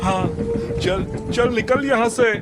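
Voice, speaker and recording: Man as a slow, very high panicky young man. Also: loud music behind the voice. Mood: panicked.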